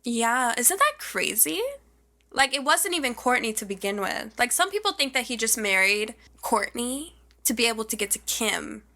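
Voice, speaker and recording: A young woman with a high, bright, forward voice chats as a podcast co-host. She gossips casually in an animated, slangy way, with the odd swear word. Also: moderate pace.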